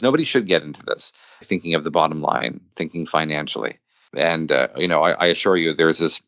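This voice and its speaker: A man's voice: deep voice, a bit bright, dry recording, highs cut off. A man chatting casually as an interview guest, talkative and earnest, with enthusiasm for his work. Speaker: guest, independent filmmaker. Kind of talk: casual podcast interview chat.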